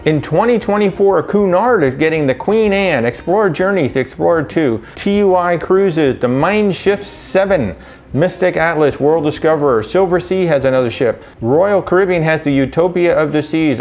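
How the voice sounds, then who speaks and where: A middle-aged man recording a casual travel vlog from a home office, running briskly through a list with wry asides. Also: a little high, a little mellow, soft background music. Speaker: travel vlogger. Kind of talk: casual rundown to camera.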